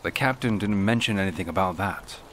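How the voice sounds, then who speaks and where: Man playing a low-voiced, terse commander. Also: a little fast, a little hiss or hum, lightly acted. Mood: composed. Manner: curt, composed, authoritative. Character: calm, terse male warden commander. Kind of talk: video game voice acting.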